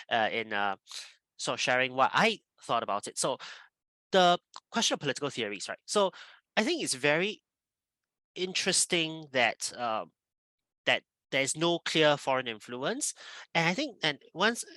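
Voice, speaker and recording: A male legal scholar thinks aloud in an academic discussion. His voice is very bright and crisp-edged, recorded dry, and he hesitates, rephrases and checks in with tag questions. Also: mid-range voice, dry recording, low-quality recording.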